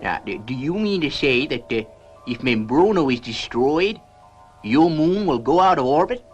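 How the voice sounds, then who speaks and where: An actor playing a fussy, excitable professor aboard a rocket ship, earnest and halting, in a science fiction series. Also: male voice, medium pitch, natural voice. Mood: puzzled. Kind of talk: 1960s children's science fiction puppet series acting. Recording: loud music and engine noise behind.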